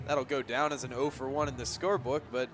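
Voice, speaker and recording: A man's voice: medium pitch, steady tone, fast. A man giving analytical color commentary on a baseball radio broadcast in a conversational, explanatory way. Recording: clear outdoor background noise.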